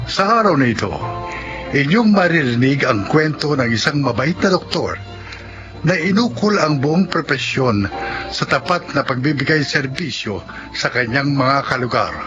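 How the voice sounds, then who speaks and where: A man's voice: medium pitch, animated, normal speed, highs cut off. A man delivering scripted, dramatic narration as the announcer of a radio drama anthology. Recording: loud music bed behind voice.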